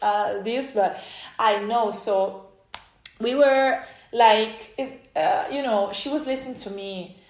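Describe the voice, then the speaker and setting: Slow, halting Italian woman in an echoey room. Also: a little high. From Italy. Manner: halting and emotional, with many restarts. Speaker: vlogger. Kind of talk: personal storytelling.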